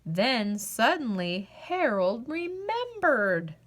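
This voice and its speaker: Female children's story narrator: high voice, very animated melody, deliberate phrasing for read-aloud picture books. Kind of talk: expressive scripted picture-book narration.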